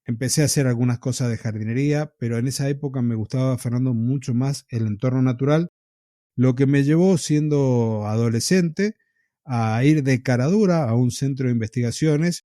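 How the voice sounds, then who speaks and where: A man from Argentina with an Argentine accent, a podcast host telling his own story in an unhurried, reflective way. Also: mid-range voice, no room sound, clean studio sound. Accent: Argentine Spanish. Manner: reflective, unhurried, with pauses and soft laughs. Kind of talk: casual storytelling in an interview.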